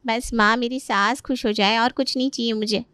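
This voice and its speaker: A woman's voice: fairly high pitch, fairly even intonation, moderate pace, sharp tone, smooth, dry recording. A woman voicing an eager, affectionate married daughter in a story. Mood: grateful.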